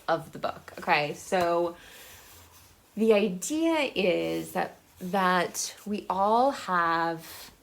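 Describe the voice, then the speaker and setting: A woman talking casually and unscripted as a book vlogger, in a halting way, thinking aloud and rephrasing as she goes. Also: on the low side.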